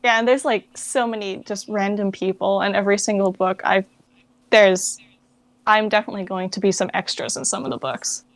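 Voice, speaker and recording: A woman's voice: medium pitch, fairly even intonation, fairly quick, no room sound. An American woman giving an interview as a guest, chatting informally and thinking aloud. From United States. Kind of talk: casual chat.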